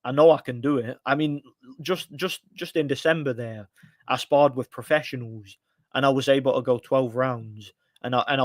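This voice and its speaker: A man's voice: mid-range voice, dry recording. Blunt rambling man in his thirties. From United Kingdom. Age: 30s. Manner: rambling, frequent restarts and fillers; frustrated, blunt opinions. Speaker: livestream host. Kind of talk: casual live-stream boxing chat.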